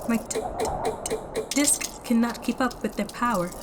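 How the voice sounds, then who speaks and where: A woman's voice: a little high, normal speed, background noise, close to an everyday voice. An actress voicing a damaged female android who stutters and speaks in clipped, mechanical phrases. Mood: strained. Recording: loud music score behind.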